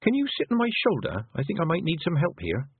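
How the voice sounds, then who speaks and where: A man's voice: fairly high pitch, wildly swooping pitch, fast, breathy edge, no room sound, voice pushed up, exaggerated voice. Young girl witch done by a man, earnest, raised eager voice, lo-fi phone sound. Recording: tinny, phone-like lo-fi audio.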